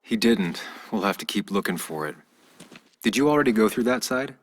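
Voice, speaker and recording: A deep-voiced, brooding American detective. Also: male voice, animated, normal speed, in a large hall. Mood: guarded, focused. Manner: terse, serious. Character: brooding, cautious adult male journalist-detective. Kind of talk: video game acting.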